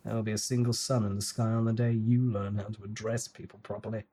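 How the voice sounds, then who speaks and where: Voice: medium pitch, flat delivery, fairly quick, mellow, slightly rough, natural voice. Someone doing a stern, dry young lord. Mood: exasperated. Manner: formal, dryly sarcastic.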